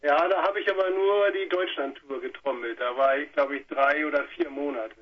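A man's voice: on the high side, normal speed. A man from Hamburg, a drummer chatting casually in a phone interview. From Hamburg, Germany. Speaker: drummer in a band. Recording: muffled telephone line, clear background noise.

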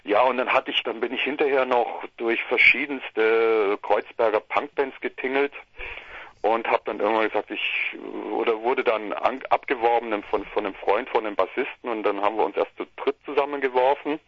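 A man's voice: mid-range voice, moderate pace, no echo. A middle-aged man from southern Germany, a musician chatting casually in a phone interview and rambling with many restarts. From Bavaria/Swabia, Germany. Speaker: musician guest. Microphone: phone line. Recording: muffled phone line, dry.

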